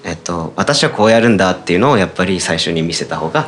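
A man's voice: low-pitched, lively intonation, fast, a bit bright, a little hiss or hum. A man, a scriptwriter and director, eagerly explaining his ideas with lots of agreeing fillers. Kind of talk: casual chat.

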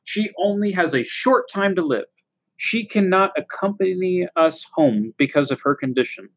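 A woman's voice: on the low side, lively intonation, normal speed, slightly rough, muffled recording. A woman voicing an earnest, emotional young male pig from a storybook, pleading and dramatic. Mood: urgent, pleading.

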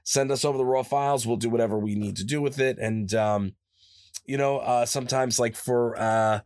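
A man chatting casually in an interview as a voice actor, telling stories in a rambling, animated way and often repeating himself. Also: normal speed, dry recording. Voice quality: higher-pitched natural voice. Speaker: voice actor guest. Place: his own studio. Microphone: studio microphone, close.

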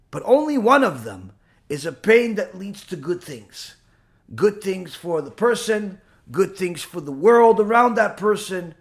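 A man speaking as a rabbi giving a religious lecture, emphatic, with rhetorical repetition and bits of acted-out dialogue. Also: high-pitched.

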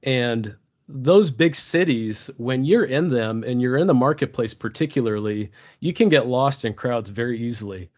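A man from Turkey, a podcast guest telling a personal story slowly and thoughtfully, often restarting his sentences. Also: lively intonation. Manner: slow, thoughtful, many restarts. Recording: dull low-fidelity podcast audio.

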